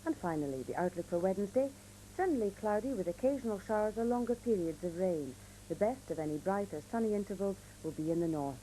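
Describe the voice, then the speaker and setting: Casting: female regional news and weather reader, formal, measured, soft mellow tone, slightly noisy old tape. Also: mid-range voice, expressive. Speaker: newsreader.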